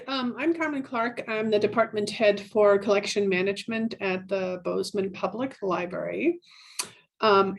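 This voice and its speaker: Hesitant middle-aged woman, native German speaker. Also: mid-range voice. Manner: hesitant, searching for words. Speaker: library department head. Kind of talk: informal self-introduction in an online meeting.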